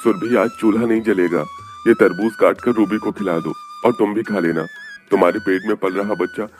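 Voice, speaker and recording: A man playing a weary, caring young father from a poor family in a cartoon, with a plaintive, expressive manner. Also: lowish voice, a little fast, faint music behind, lightly acted. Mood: weary, sad.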